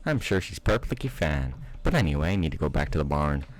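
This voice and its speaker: A man's voice: a bass voice, big pitch swings, quick pace. A man voicing a big, placid stallion farmer with a put-on Southern drawl and easygoing farmhand talk.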